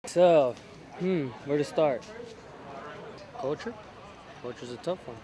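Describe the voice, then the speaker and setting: A man's voice: a little high, slow pace, a loud crowd. A man from South Central Los Angeles, answering interview questions casually and hesitantly, often restarting his sentences.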